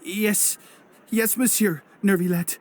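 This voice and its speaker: An actor playing a meek, nervous suspect in a video game, hesitant and stammering. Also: male voice, sits high, steady tone, takes it slowly, slight background noise. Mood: nervous, cowed. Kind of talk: video game character acting.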